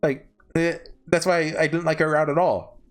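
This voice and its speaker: A man's voice: fairly high pitch, fairly even intonation, moderate pace, soft background music. A man giving casual, rambling commentary as a video host, informal and hesitant, often correcting himself. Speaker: video host reviewing visual novels.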